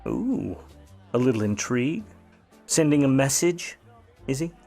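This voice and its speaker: A man's voice: medium pitch, sing-song, a little slow, mellow, soft background music. A man playing a grudging, wisecracking private eye, needling and slangy, in a noir radio play. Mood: sardonic, amused.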